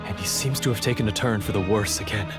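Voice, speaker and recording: A man voicing a brisk, blunt prison guard in a game. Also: lowish voice, over loud music, lightly acted. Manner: brisk, blunt, polite to superiors. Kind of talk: game voice acting.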